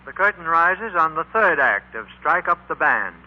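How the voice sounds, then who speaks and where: High-pitched vintage radio narrator, male. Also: big pitch swings, moderate pace. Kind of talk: 1940s scripted narration. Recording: dull lo-fi 1940s radio recording. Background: music.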